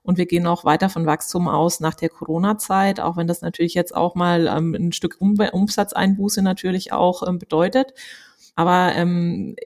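A woman in her forties from Franconia with a Franconian German accent, a company executive answering interview questions at length, often pausing on fillers. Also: fairly low pitch, steady tone, darkish tone. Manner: talks at length with many hesitation fillers. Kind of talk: business interview.

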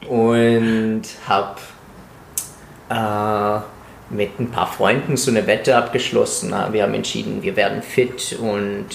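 A man's voice: lowish voice, flat delivery, takes it slowly, creaky. A man in his twenties from Italy, chatting casually as a podcast co-host. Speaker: podcast co-host, calisthenics coach. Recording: roomy echo, faint background noise.

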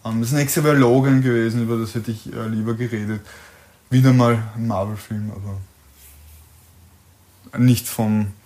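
A man's voice: mid-range voice, fairly even intonation, normal speed, pauses often, a little mellow. A man with an Austrian German accent co-hosting an unscripted chat about films and series, explaining his opinions in an informal way. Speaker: podcast co-host.

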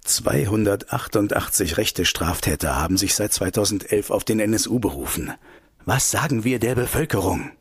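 A combative investigator in a radio play. His pitch moves in lively swings and his voice has a husky grain. He sounds indignant and sarcastic, pressing hard on every point. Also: normal speed, exaggerated voice. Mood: sarcastic, accusing. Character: combative, sarcastic male parliamentary investigator grilling officials.

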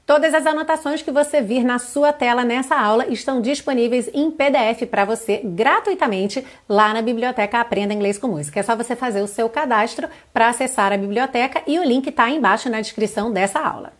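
Brief: woman from Brazil, English teacher, high-ish voice, careful slow reading of lines. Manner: reads English lyrics slowly and carefully, then translates. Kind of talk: online language lesson.

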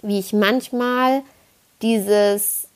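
A woman's voice: mid-range voice, steady tone, drawn-out words. A woman in her twenties from the Palatinate with a slight Palatinate German accent, hosting a podcast in a casual, self-ironic monologue that rambles with lots of restarts. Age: 20s. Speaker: podcast host. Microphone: podcast microphone.

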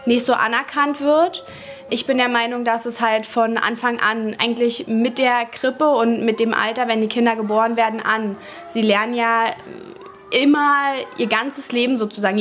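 A woman's voice: on the high side, fairly bright tone. A woman who works as a nursery teacher, answering earnestly, with many hesitant fillers. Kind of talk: interview answers. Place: daycare centre.

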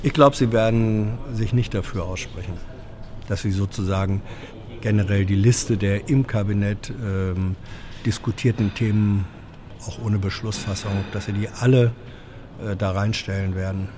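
A man's voice: fairly low pitch, fairly even intonation, normal speed, background noise. A man co-hosting a casual podcast chat, making dry, ironic quips. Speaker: podcast co-host.

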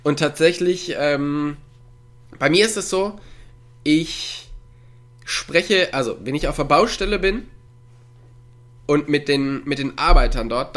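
A man co-hosting a relaxed conversation from home over a remote call. He rambles through his thoughts with plenty of fillers. He chuckles often as he talks. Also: on the high side. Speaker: podcast co-host. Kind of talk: casual chat. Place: at home, with a dog nearby.

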